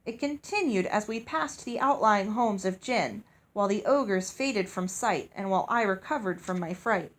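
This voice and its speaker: A woman's voice: mid-range voice, expressive, moderate pace, hardly any pauses. A woman reading a story aloud as narrator. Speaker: audiobook reader.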